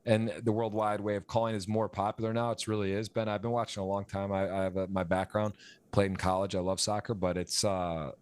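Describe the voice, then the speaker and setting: An American man with a fairly low voice hosts a live poker stream. He calls the hands in a rambling way, with many restarts and repeated words. His pace picks up and his energy rises when a big hand plays out. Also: flat delivery, brisk, smooth. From United States. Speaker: poker commentator and stream host. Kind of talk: live play-by-play commentary.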